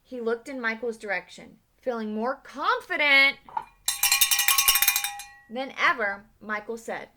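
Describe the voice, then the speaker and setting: A woman reads a children's picture book aloud with lively expression. Her voice is bright and clear, and she stops now and then to ask her young listeners questions. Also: on the high side, takes it slowly, long pauses, soft background music. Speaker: read-aloud storyteller.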